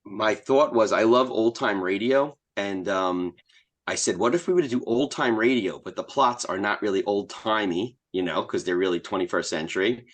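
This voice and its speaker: A man's voice: fairly low pitch, moderate pace. A man, a podcast guest, telling anecdotes casually and a little hesitantly, often repeating his phrases.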